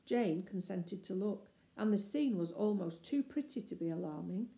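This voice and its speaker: A woman reading a children's story aloud as scripted narration, expressive and measured. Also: medium pitch, vocal fry. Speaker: storybook reader. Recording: echoey room.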